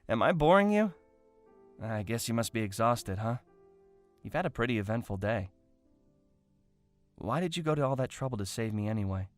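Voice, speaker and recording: A man playing a brave, chatty young castaway in a fan audio drama, with a wry, expressive, conversational delivery. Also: medium pitch, moderate pace, long pauses, no room sound, faint music behind, close to an everyday voice. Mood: teasing.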